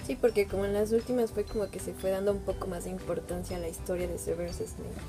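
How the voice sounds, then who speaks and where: A woman's voice: medium pitch, little pitch movement, fast. Woman interviewing over music. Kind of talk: casual interview chat.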